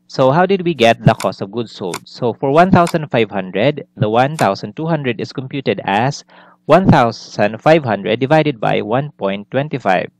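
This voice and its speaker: A man's voice: mid-range voice, dry recording. A man giving a recorded accounting lesson, explaining methodically step by step and restating his points. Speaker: accounting instructor. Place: indoors, screen-recorded lesson voice-over.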